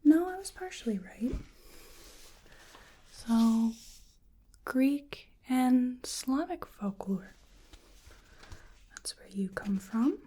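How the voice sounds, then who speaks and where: Soft, breathy woman murmuring slowly. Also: sits high, expressive. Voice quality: soft, breathy, often whispery. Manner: hesitant, murmuring to an unseen listener. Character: bewildered, polite female researcher examining a creature. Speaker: ASMR roleplay performer. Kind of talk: soft-spoken ASMR roleplay.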